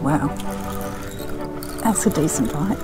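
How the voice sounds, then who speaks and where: A woman's voice: deep voice, animated, takes it slowly, over loud music. A woman giving a cheerful, chatty running commentary while fishing from a sand dune by a river, talking to the fish as she catches them, recorded on a body-worn action camera. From Australia. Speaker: fishing vlogger.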